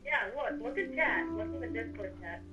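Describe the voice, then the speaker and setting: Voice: medium pitch, expressive, normal speed, muffled recording, music in the background. A gamer chatting casually with friends while playing a video game. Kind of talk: casual gameplay chat.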